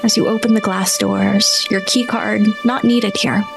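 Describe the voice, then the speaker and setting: A woman runs a tabletop role-playing game as game master. She paints scenes in a descriptive storyteller's way, at a middling pitch, with music clearly playing underneath. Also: fairly even intonation, measured pace. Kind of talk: improvised tabletop game narration.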